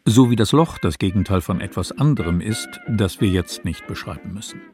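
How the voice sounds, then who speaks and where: A man giving a deliberate scripted reading of a literary text for radio. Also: deep voice, animated, a little mellow, soft background music. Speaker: reader of literary texts.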